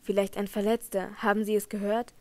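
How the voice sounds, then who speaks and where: An earnest young man begs his beloved to run away with him, as a woman reading a storybook voices him. His voice sits lower than the narrator's own, pressing and protective. It is plain audiobook reading. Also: level tone, fairly quick, mellow, dry recording, lightly acted. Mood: urgent. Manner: urgent, pleading.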